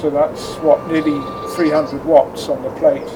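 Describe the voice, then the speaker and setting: A man's voice: little pitch movement, a little slow. A man giving unscripted, matter-of-fact technical commentary as an amateur experimenter demonstrates equipment. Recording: noisy location sound, vehicle-like background rumble.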